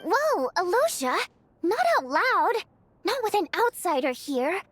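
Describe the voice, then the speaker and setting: An actress playing an excitable, outspoken young woman with a dramatic, chatty delivery in a video game. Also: very high voice, unhurried, faint music behind, heavily acted. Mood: alarmed. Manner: expressive, exclamatory, chatty.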